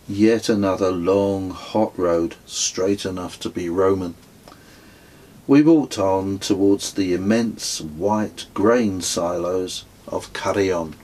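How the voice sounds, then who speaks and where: A man's voice: fairly low pitch, a little mellow, husky, rough recording. A middle-aged man reading his own travel memoir as an audiobook. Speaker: author reading own book.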